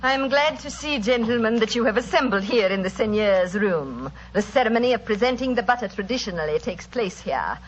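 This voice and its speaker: A woman's voice: on the high side, expressive, fairly quick, hardly any pauses, lightly acted. An actress playing a cold, scheming female spy in a 1940s radio drama, speaking formally with a coolly mocking edge. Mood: formal, suspicious. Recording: dull, noisy old radio recording, hall reverb.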